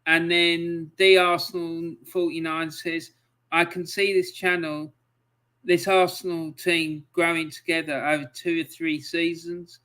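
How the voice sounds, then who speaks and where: Man hosting a podcast, chatty and easygoing, bright voice, fairly high pitch. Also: flat delivery, measured pace. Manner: chatty, laughs often, filler-heavy. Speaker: podcast host. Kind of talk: casual interview, reading viewer comments.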